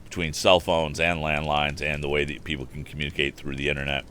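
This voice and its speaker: A man's voice: extremely low pitch, level tone, brisk, sharp tone. A man hosting a storytime livestream, reading and chatting casually into a microphone. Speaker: livestream storytime host, reader. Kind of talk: animated book reading with casual asides. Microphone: streaming microphone. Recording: faint background noise on livestream.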